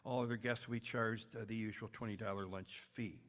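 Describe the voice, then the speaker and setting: A man's voice: on the low side, fairly even intonation, a little fast. A man with an American accent reading announcements as host of a club meeting, with informal asides.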